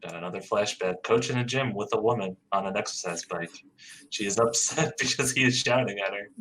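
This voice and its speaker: A man's voice: fairly low pitch, brisk, fairly bright tone, slight background noise. A man who hosts a podcast, reading stage directions aloud in a plain, matter-of-fact way.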